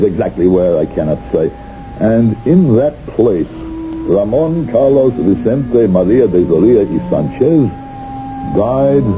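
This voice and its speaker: Haunted, low-voiced reformed colonel. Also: male voice. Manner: halting, deliberate, dramatic pauses. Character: reformed secret police colonel reborn as gentle professor, haunted. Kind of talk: 1970s radio drama acting with narration. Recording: dull 1970s radio recording.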